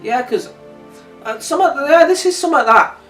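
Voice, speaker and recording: A man's voice: very high voice, animated, moderate pace, fairly bright tone. A man chatting casually as he co-hosts a review, thinking aloud in a hesitant, rambling way. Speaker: co-host of a review discussion. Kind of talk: casual chat reviewing an audio drama. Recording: echoey room, distant mic, faint music behind. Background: faint music.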